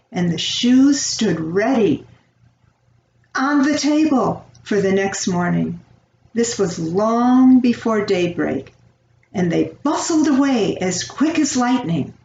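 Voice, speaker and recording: Slow-reading older woman in a roomy recording. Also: mid-range voice, vocal fry, a little mellow. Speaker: storyteller. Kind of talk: reading a children's fairy tale aloud. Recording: roomy, distant, dull home recording, faint noise.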